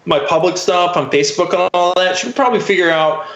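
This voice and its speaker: A young American man telling personal stories casually as a podcast guest, in a halting, candid, profane way full of fillers. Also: high voice, a bit bright, echoey room, muffled recording.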